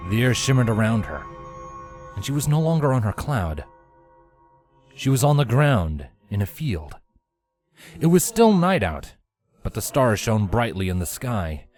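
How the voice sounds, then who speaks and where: A man's voice: fairly low pitch, very animated, measured pace, pauses often, darkish tone, faint music behind. A man reading scripted story narration as the narrator of a fan fiction.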